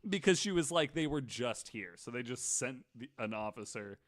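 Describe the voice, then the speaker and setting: A man's voice: medium pitch, animated, moderate pace. A man hosting a casual podcast, telling stories from his notes in a jokey, conversational way. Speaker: podcast host. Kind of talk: casual storytelling from notes with banter.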